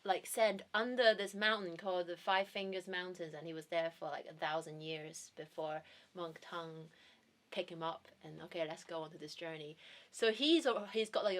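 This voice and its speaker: A woman's voice: on the low side, a bit bright. A young woman from Hong Kong, a guest in an interview, talking casually about her acting work with many fillers and restarts. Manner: chatty, many fillers and restarts, laughs easily. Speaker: guest, actor and drama teacher.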